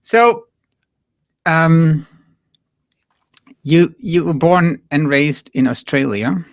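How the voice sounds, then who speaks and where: A man's voice: fairly high pitch, very slow, no echo. Hesitant male podcast host, dry sound. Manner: conversational, hesitant with fillers, animated when quoting others. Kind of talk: casual interview conversation.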